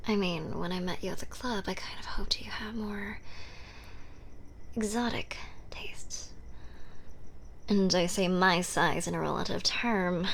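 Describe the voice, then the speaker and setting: A woman playing a seductive, blood-craving modern vampire in an intimate, wry ASMR roleplay, speaking in breathy whispers. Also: medium pitch, slight background noise. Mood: wry exasperation.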